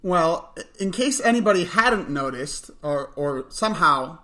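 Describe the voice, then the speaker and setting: A man's voice: fairly high pitch, expressive, measured pace, sharp tone. Casual man co-hosting with wry asides. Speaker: camp morning show co-host. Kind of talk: casual, unscripted announcements.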